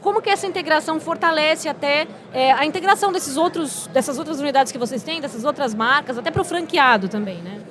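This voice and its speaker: A Brazilian woman interviewing an executive at a trade show, asking brisk questions with many "né" prompts. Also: high voice. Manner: brisk, steady stream of "uhum" and "né" prompts.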